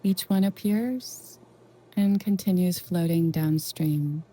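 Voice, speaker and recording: A woman leading a guided meditation in a gentle, reassuring, unhurried way with long pauses. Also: fairly low pitch. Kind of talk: soothing guided meditation narration.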